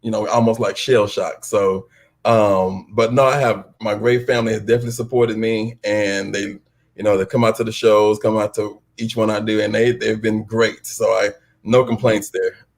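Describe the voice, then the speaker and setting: An American man answering interview questions casually, in an unhurried, reflective way. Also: fairly low pitch, level tone. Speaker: stage actor, interview guest.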